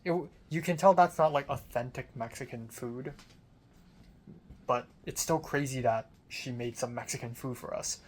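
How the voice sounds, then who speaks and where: A man's voice: mid-range voice, moderate pace, a little mellow, slight background noise. Young man rambling casually. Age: young adult. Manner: informal, mildly profane, conversational. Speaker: film student vlogger. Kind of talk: casual rambling personal diary.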